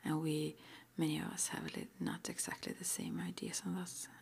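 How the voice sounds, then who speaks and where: A woman whispering breathily in an ASMR roleplay, gently and hesitantly asking questions like an interviewer. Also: low-pitched, flat delivery, fairly quick. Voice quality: breathy whisper, mostly unvoiced.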